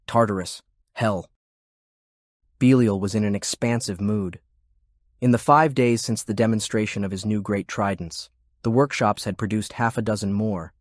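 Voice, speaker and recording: A man's voice: on the low side, dry recording, studio quality. A man reading scripted fiction as an audiobook narrator.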